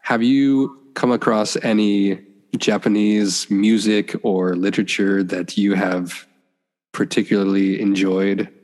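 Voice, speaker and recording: Low-voiced man interviewer, friendly prompts, echo trailing in the room. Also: fairly even intonation, slow, a little mellow. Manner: encouraging, paraphrases and completes the guest's answers. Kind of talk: conversational interview. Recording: long reverb.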